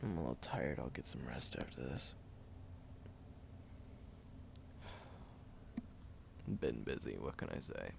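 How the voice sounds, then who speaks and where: A man voicing a gruff, tired young tsundere man who secretly cares, halting and grumpy, in an intimate audio roleplay. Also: rumbling low, very animated, exaggerated voice. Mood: weary, caring. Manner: halting, sighing, grumpy and flustered. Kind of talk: intimate audio roleplay, acted monologue to the listener. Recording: dull lo-fi, clear background noise.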